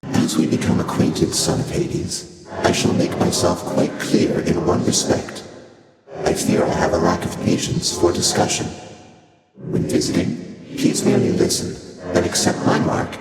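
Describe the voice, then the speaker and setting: An actor playing an ancient, otherworldly primordial deity in a video game, speaking formally and aloofly with dry amusement through a heavy reverb effect. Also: male voice, sits low, wildly swooping pitch, gravelly, full character voice. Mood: solemn, formal. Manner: formal, archaic, deliberate, aloof phrasing. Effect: heavy otherworldly echo reverb. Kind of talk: video game character voice lines. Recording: cavernous long reverb, loud music behind.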